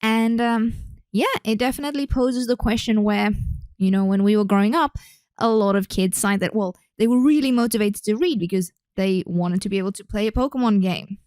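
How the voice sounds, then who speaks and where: A woman's voice: mid-range voice, a little fast, darkish tone, no room sound. A young Australian woman hosting a casual solo podcast as a speech therapist, chatty and rambling with many fillers. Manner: chatty, rambling, with frequent fillers and self-corrections.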